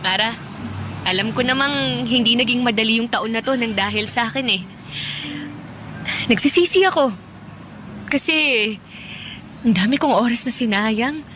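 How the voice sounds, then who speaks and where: An actress playing a remorseful, tender young elder sister in an earnest, emotional Tagalog radio drama. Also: female voice, fairly high pitch, moderate pace, long pauses, noisy background, close to an everyday voice. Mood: tearful remorse.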